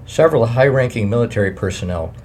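A man reading a wartime memoir aloud in a plain, measured way, adding his own editor's notes. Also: mid-range voice, expressive, a little mellow, a little hiss or hum.

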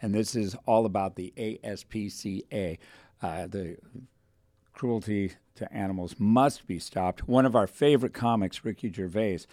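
A man in his forties hosting a radio talk show, telling stories in an animated way and putting on voices when he quotes people. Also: lowish voice, moderate pace, dry recording, clean studio sound. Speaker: radio host. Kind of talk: casual radio show chat. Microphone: studio microphone.

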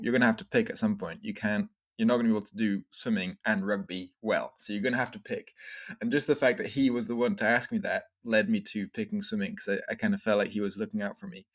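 A man in his thirties who grew up in France, talking as an interview guest in a relaxed, reflective way, full of "you know" and "like". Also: lowish voice. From Scotland, grew up in France. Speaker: podcast guest, entrepreneur. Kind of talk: casual interview.

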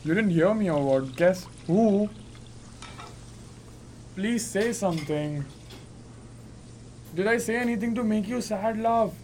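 A man's voice: sits high, expressive, slow, long pauses, darkish tone. A young man playing a devoted, eager-to-please clerk husband in an amateur radio drama, tender and reassuring. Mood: concerned, pleading. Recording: noisy amateur recording.

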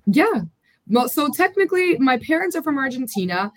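A woman's voice: high voice, big pitch swings, moderate pace, dry recording. An American woman guest, a bit under the weather, talking casually and chattily in an interview over a remote call. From United States. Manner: chatty, upbeat, talkative. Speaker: podcast guest.

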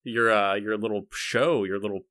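Guy hosting a podcast, kinda low voice, rambly and hesitant, real dry close sound. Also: steady tone, normal speed, sharp tone, no room sound. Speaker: podcast host. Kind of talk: casual chat.

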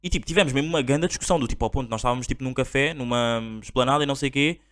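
Fast-talking young man, slangy podcast host. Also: mid-range voice, a bit bright, no echo, studio quality. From Angola. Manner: slangy, drawn-out "tipo" and "bro". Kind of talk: casual podcast monologue.

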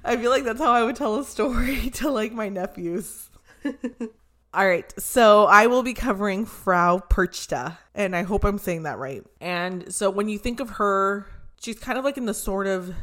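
A hoarse woman co-host talks through a spooky holiday story in a loose, chatty way. She laughs easily and swears now and then, with a plain mid-range voice. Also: medium pitch, unhurried. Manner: animated, laughs often, swears casually. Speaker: podcast co-host. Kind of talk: casual chat and storytelling.

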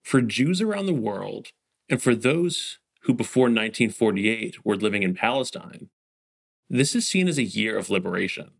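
A male host reads scripted narration, posing framing questions to the listener. His voice sits low and has a gravelly edge. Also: animated, normal speed.

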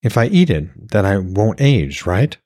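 A man's voice: lowish voice, big pitch swings, unhurried, darkish tone, no echo, clean studio sound. A man voicing an anxious, questioning woman in a fantasy audiobook, wary and hesitant. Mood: troubled, doubtful.

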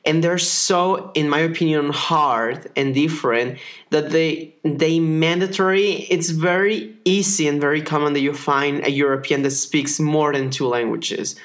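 A man's voice: on the high side, fairly even intonation, unhurried, a bit bright. A man from Mexico with a Mexican accent, a native Spanish speaker, talking casually in English and explaining things informally as a podcast co-host. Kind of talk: casual conversational chat.

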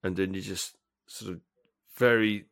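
A man's voice: low-pitched, fairly even intonation, measured pace, a bit bright, dry recording. A British man bantering casually as a podcast co-host, jokey and colloquial with playful exaggeration.

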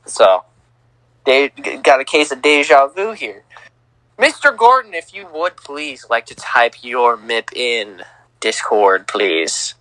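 A young man co-hosting a casual sports podcast, teasing and lively, on a home mic. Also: mid-range voice, no echo, low-quality recording. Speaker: podcast co-host.

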